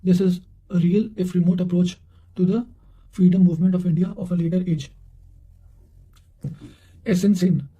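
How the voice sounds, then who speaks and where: A man with a fairly high voice and a very dark, relaxed tone reads study material aloud. His delivery is formal and flat in melody, with careful phrasing that often stumbles and restarts. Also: poor audio. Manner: careful, formal reading with frequent stumbles over words. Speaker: reader of history study material. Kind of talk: reading quotations aloud for exam revision.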